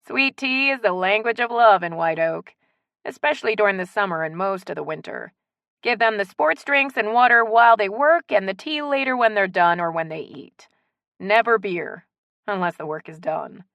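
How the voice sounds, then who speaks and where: A woman's voice: mid-range voice, expressive, normal speed, creaky, fairly bright tone, dry recording, muffled recording, pitched above the performer's own voice. A woman narrator voicing a frank, wry local mother with a slight Cajun accent in an audiobook story. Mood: wry, advising.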